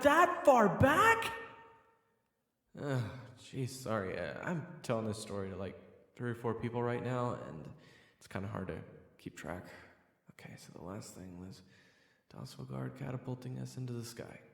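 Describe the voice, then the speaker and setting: A man's voice: mid-range voice, gravelly. An actor playing a chatty young swordsman who narrates a fantasy audio drama in a conversational, dramatic way. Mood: flustered. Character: roguish, chatty young swordsman retelling his adventure. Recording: echoey reverb, loud music behind.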